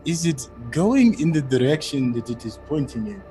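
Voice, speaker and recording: Man, highish voice, very animated intonation, casual tabletop player, questioning, deliberating. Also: moderate pace, soft background music. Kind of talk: casual game chat.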